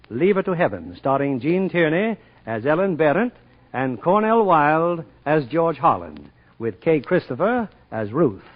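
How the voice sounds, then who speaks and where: A man presenting a scripted 1940s radio drama as its host and announcer. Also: a little high. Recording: dull lo-fi 1940s radio recording.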